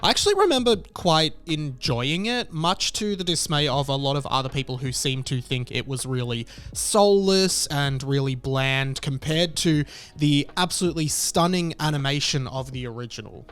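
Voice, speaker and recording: A man's voice: fairly high pitch, expressive, moderate pace, fairly bright tone, clear, resonant tone. A thirty-year-old man giving casual opinion commentary as a movie fan.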